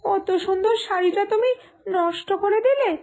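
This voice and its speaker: A woman voicing a devoted, self-sacrificing village daughter in an animated moral story, speaking in an earnest, emotional, pleading manner. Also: extremely high pitch, moderate pace. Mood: surprised, upset.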